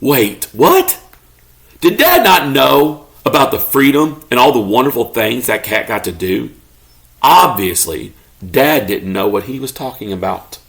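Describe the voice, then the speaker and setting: A man reading a children's story aloud expressively, as a parent does to a child, pausing to ask questions. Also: medium pitch, vocal fry, fairly bright tone. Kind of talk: expressive children's read-aloud, narrating as a pet dog.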